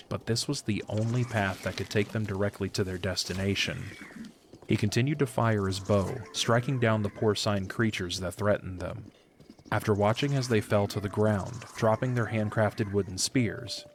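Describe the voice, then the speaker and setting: Male narrator for audio fiction: lower register, measured literary read, faint ambience behind. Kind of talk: scripted story narration. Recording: faint background ambience noise.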